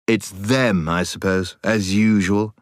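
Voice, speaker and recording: A man's voice: sits low, lively intonation, a little slow, a bit bright, no room sound, heavily acted. Male reader as earnest British schoolboy for a storybook, defensive, a bit preachy, swooping intonation, dry sound. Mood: grim concern. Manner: earnest, slightly lecturing.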